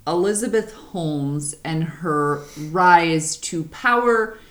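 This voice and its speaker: A woman with a deep voice co-hosting a podcast, chatting casually, animated and swearing freely. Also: slow pace. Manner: animated, swearing, laughs often. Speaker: podcast co-host. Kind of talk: casual chat.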